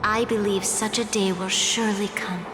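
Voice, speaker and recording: A woman's voice: mid-range voice, fairly even intonation, normal speed, close to an everyday voice. Woman acting a solemn female leader, reverberant. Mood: solemn, resolute. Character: solemn, resolute female leader, selfless and hopeful. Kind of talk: video game story dialogue. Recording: long reverb, music behind.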